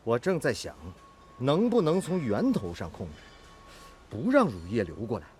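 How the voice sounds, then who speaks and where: A man's voice: medium pitch, huge pitch swings, moderate pace, darkish tone, slight background noise. A male actor playing a stubborn, inventive steel-mill technician and mentor in a Chinese radio drama. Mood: thoughtful.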